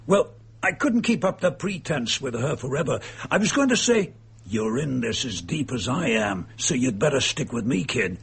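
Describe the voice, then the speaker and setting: A man acting in a radio drama as a gloating, bitter murderer and impostor, with a husky, gravelly voice and a sneering, darkly amused manner. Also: medium pitch, sing-song, moderate pace, full character voice. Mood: bitter, cold.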